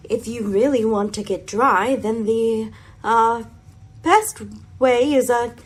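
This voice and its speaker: A woman playing a bossy, authoritative dodo in a radio play, with loud, halting pronouncements and a husky voice. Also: on the high side. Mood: pompous.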